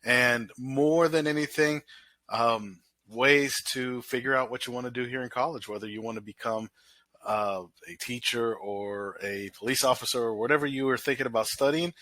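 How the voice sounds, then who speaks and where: A college instructor with a bright, nasal voice, recorded close and dry on a computer mic. He rambles casually through a course walkthrough, full of ums and restarts. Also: medium pitch, normal speed, no echo. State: nasal from illness.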